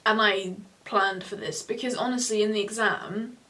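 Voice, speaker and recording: A young woman, a student, giving exam advice in a casual on-camera chat, talking fast with lots of self-corrections. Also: mid-range voice. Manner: chatty, animated hand gestures, self-correcting. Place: small home room, indoors. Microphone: camera in front of two seated speakers.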